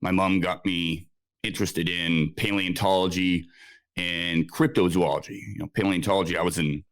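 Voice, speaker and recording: A man's voice: low voice, steady tone, normal speed, clean tone, no room sound. A man in his forties, born in Scotland, telling his story as a podcast guest, rambling with many fillers and restarts. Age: 40s. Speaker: guest researcher. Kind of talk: casual interview storytelling.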